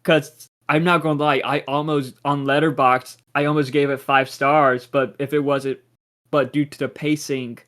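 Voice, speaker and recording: A young man talks casually on a podcast, drifting through half-finished thoughts and restarting sentences. His voice sits at an ordinary middle pitch. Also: little pitch movement, normal speed, a bit bright. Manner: rambling, trails off and restarts. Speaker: podcast co-host. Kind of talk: casual chat.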